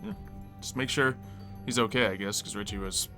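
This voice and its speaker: A man's voice: on the low side, brisk, pushed and bright. Easygoing peacemaker survivor, zombie game roleplay, male player, casual conversational delivery, music underneath. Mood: calm, earnest. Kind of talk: improvised video game roleplay, casual chat.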